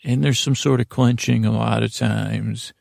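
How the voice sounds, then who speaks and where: A man hosting a bedtime podcast in a gravelly voice, with a meandering, halting monologue that keeps interrupting itself and wandering off. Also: mid-range voice, fairly even intonation, darkish tone, dry recording, studio quality. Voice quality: gravelly, creaky. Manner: meandering, halting, self-interrupting, trails off on tangents. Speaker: podcast host.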